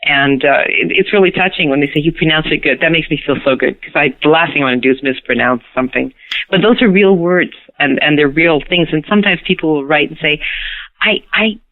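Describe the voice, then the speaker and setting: A middle-aged woman from Canada whose first language was Hungarian, speaking as an interview guest in a lively, chatty way. She laughs easily and often stumbles over her opening words. Her voice comes through a thin, narrow phone line. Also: low-pitched, brisk. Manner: lively, laughing, repeats words when starting sentences. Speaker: guest actress. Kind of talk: casual interview chat.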